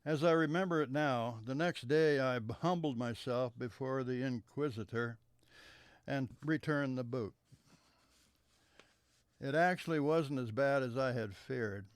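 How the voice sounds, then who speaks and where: Smooth-voiced man reading a memoir. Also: mid-range voice, no room sound. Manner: measured, trailing off softer at the ends of sentences. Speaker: storyteller. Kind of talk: reading a memoir story aloud.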